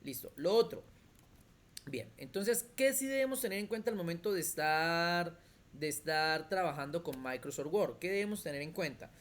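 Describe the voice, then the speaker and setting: A computer skills teacher, explaining step by step in an online class and checking in often with quick questions. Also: mid-range voice, expressive. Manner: thinks aloud with restarts while demonstrating on screen.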